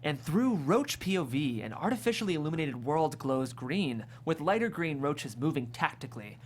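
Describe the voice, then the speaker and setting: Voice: mid-range voice, big pitch swings, moderate pace. A narrator vividly reading scripted stage directions at a comedic table read.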